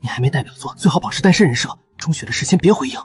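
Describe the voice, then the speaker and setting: Man acting a practical associate with lively intonation. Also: on the high side, rapid-fire, background noise, natural voice. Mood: matter-of-fact. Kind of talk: dramatised web-novel drama.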